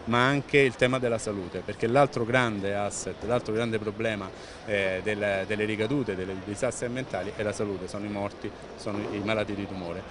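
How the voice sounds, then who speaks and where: An Italian-accented man in his fifties speaks in a fairly low voice, answering a reporter's questions with steady earnestness and scattered "eh" fillers. He talks into a handheld microphone held close. A large expo hall carries a clear hum of noise behind him. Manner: fluent, earnest, frequent "eh" fillers and restarts. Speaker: president of an environmental organization. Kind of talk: on-site interview answers. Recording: noisy venue background.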